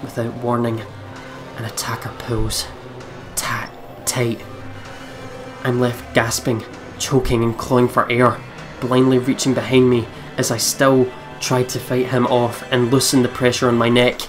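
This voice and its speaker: A let's play host narrates a visual novel aloud, deliberate and dramatic. The pitch is mid-range and stays fairly even, with music playing clearly behind the words. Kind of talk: reading visual novel text aloud with spoken reactions. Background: game music.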